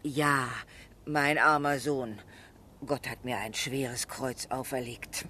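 An actress playing a sorrowful older mother in a radio drama, with a husky voice and weary, lamenting manner. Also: very deep voice, fairly even intonation, slow pace, sharp tone, slight background noise, full character voice. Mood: resigned, sorrowful. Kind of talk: German literary radio drama acting.

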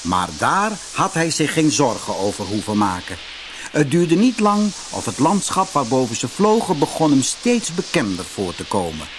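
A man narrating a scripted fairy tale as an expressive audiobook storyteller. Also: mid-range voice, normal speed, noisy background. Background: music.

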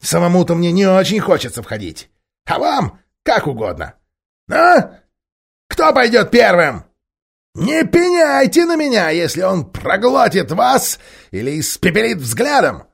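A shrill scheming ape. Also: male voice, wildly swooping pitch, measured pace, gravelly, husky, heavily acted. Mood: taunting. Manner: shrill, shouting, wheedling and mocking. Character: scheming talking male ape, shrill and bossy. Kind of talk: audiobook character voice.

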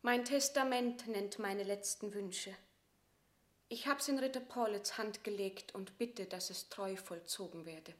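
A woman's voice: mid-range voice, unhurried, lightly acted. An actress playing a proud, remorseful queen resigned to death, speaking classical verse in a solemn, fervent radio drama style. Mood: resigned, gentle.

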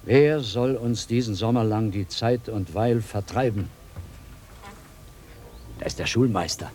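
An actor playing a blind old village woodcutter, brooding and argumentative, in a radio drama. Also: male voice, fairly low pitch, measured pace, warm tone, lightly acted. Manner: rustic, ruminative, argumentative.

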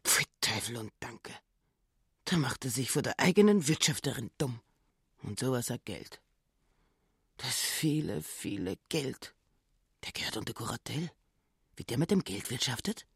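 An actress voicing a housekeeper's suspicious, greedy inner thoughts in a radio drama, muttering and often dropping into a whisper. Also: female voice, a bass voice, animated, unhurried, pauses often, husky, no echo, studio quality, exaggerated voice. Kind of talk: radio drama interior monologue.

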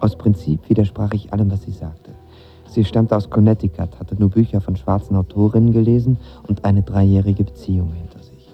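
A man's voice: on the low side, fairly even intonation, fairly quick, lightly acted. An actor playing a lovestruck, nervous young man who tells his story in casual, slangy radio drama narration. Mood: infatuated, musing. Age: young adult. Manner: casual, slangy, self-deprecating. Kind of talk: first-person radio drama narration with dialogue. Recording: slightly dull audio with music behind.

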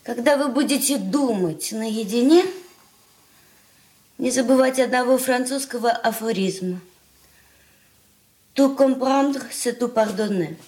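A woman plays a proud, self-possessed young fiancée in a Soviet radio drama. Her voice is high, and she speaks with cool, formal composure and clipped curtness. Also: measured pace, long pauses, darkish tone, lightly acted. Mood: cool, deliberate.

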